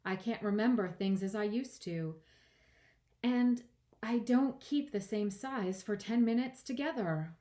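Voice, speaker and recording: A woman's voice: mid-range voice, measured pace, warm tone, voice pushed up, natural voice. A woman voicing a shy, polite young girl in a children's storybook, earnest and a little hesitant. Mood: downcast, confused. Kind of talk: children's storybook read-aloud.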